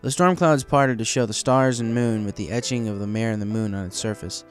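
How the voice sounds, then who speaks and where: A man's voice: on the low side, brisk, faint music behind. A man reading scripted story narration as the narrator of a fan tale.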